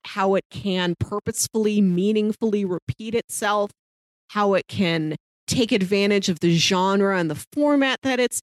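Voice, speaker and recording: A woman's voice: mid-range voice, no echo. A woman co-hosting a casual, conversational discussion show. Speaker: podcast co-host.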